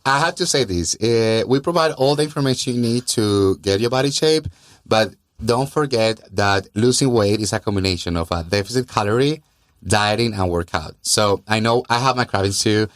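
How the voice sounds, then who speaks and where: A man's voice: lowish voice, expressive, normal speed, no room sound, clean studio sound. A man from Colombia with a Colombian accent and native Spanish, explaining things earnestly as a guest in a conversational podcast interview, often repeating words for emphasis. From Colombia and South America. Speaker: interview guest, gym owner and fitness trainer.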